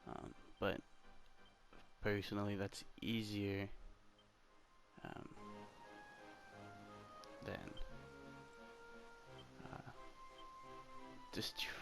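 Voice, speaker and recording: Low, creaky-voiced man, halting ASMR narration. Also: little pitch movement. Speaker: gaming video host. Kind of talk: ASMR game walkthrough voiceover. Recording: loud game music behind the voice. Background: game music and character dialogue.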